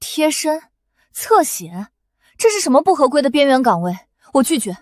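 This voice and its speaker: A young woman playing a feisty, easily flustered office worker in an animated comedy, talking in a glib, excitable, indignant way. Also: high-pitched, moderate pace. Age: young adult. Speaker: office planner. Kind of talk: animated comedy drama acting.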